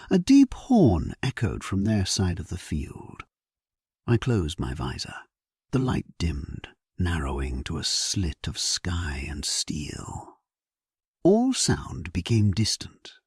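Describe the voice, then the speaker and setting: A man giving a solemn, measured and theatrical first-person narration of a scripted dramatic sleep story. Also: low-pitched, a little mellow, husky, no room sound, clean studio sound.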